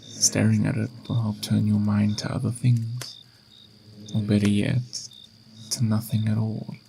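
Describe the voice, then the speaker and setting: Man playing a creaky-voiced, mysterious ageless watcher. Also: lowish voice, monotone, a little hiss or hum, poor audio. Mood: soothing, inviting. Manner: unhurried, philosophical, softly reassuring. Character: mysterious ageless lingerer at time's end, patient and gentle. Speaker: ASMR roleplay performer. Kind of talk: ASMR roleplay, slow intimate monologue.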